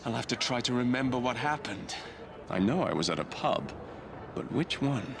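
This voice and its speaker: A cocky young English rogue from a game cutscene. He sounds wry and impatient, with very lively intonation. Clear background noise surrounds him. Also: mid-range voice, moderate pace. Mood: groggy. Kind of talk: video game cutscene acting.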